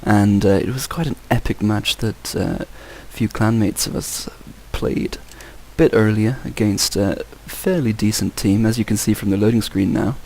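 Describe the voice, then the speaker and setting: A young man from England with an English accent, commentating a video game in a relaxed, explanatory way with dry asides. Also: on the low side, normal speed, vocal fry, hardly any pauses.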